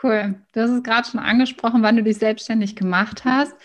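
A woman's voice: fairly high pitch, fairly even intonation, brisk. A woman speaking as the host of an interview podcast. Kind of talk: scripted podcast intro and interview.

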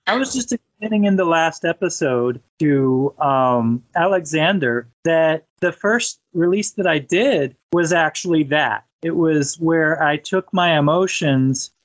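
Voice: medium pitch, a little slow, hardly any pauses. Podcast host with a mid-range voice. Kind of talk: conversational interview.

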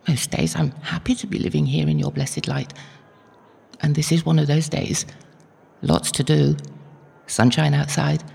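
An actress playing an elderly, devout medieval holy woman in an audio drama, gentle and wistful, musing hesitantly. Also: low-pitched, creaky, slight background noise, exaggerated voice. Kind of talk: audio drama acting, intimate prayerful monologue. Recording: long hall-like reverb, faint music behind.

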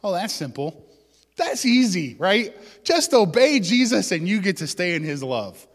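Man, pastor, casual sermon, joking asides, loud emphasis, long hall reverb. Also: sits high, very animated, unhurried.

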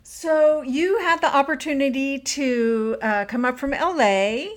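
Female podcast interviewer, fairly high voice, slow conversational delivery.

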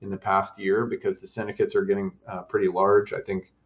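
A man answering interview questions about startup investing and advising, in a measured way with many fillers and restarts. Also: deep voice, level tone, dull audio.

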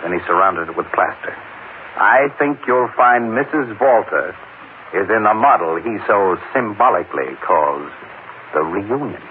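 An actor playing a breezy, inquisitive crime photographer, talking in casual slang in an old-time radio drama. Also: male voice, fairly low pitch, lively intonation, normal speed, natural voice. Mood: grimly convinced. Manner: casual, slangy, hesitant probing. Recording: tinny, noisy old radio broadcast.

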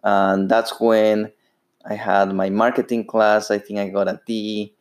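A man's voice: on the low side, level tone, measured pace. A man in his thirties from Ecuador with a Spanish accent, hosting a solo podcast and speaking unhurriedly with frequent fillers and restarts. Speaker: podcast host.

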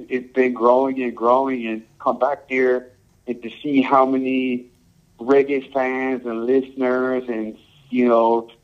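A man from Samoa and Hawaii, a reggae musician, answering interview questions in a laid-back, halting way, full of "um" and "you know". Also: mid-range voice, lo-fi sound.

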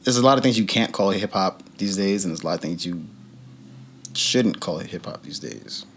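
Laid-back young rapper, low voice. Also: male voice, animated, normal speed, fairly bright tone, a little hiss or hum. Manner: loose, laughing, rambling with restarts. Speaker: guest rapper. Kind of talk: casual interview chat.

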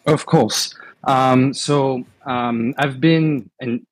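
A man's voice: mid-range voice, extremely slow, dry recording, low-quality recording. A man speaking English with a French accent, chatting casually as a guest with plenty of hesitations and an upbeat tone. His voice sits mid-range and sounds dry and close. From France. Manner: many fillers, relaxed and upbeat. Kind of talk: casual podcast chat.

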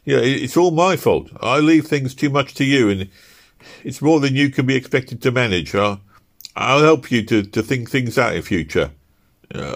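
A man lifts his voice a few notches to play an earnest young working-class husband in a storybook reading. He is awkward and stammers over his words, pitched above the reader's own low voice. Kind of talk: audiobook character reading.

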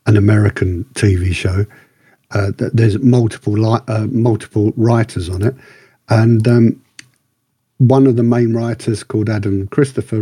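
Mellow, slightly husky male podcaster. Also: medium pitch, no room sound. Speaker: podcast co-host reviewing books and radio dramas. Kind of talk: casual review chat.

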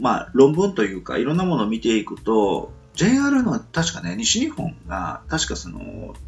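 A man's voice: mid-range voice, gravelly. A man hosting a casual solo talk radio show, politely explaining his thoughts in a hesitant, meandering way as he thinks aloud.

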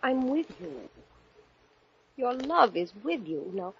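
An actress playing a vulnerable young bride, emotional and pleading, in 1940s radio melodrama style. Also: female voice, a little high, big pitch swings, slow pace, creaky, full character voice. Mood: tender, pleading. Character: frightened young bride, tender then terrified. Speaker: actor. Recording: muffled, noisy vintage radio recording.

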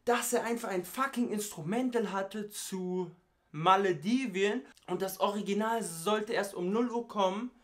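A man's voice: high-pitched, fairly bright tone. A young man, a YouTuber, chatting casually in a vlog, tired and sleepless, with a stutter and heavy youth slang. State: tired, has not slept. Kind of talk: casual vlog chat with rap parody bits.

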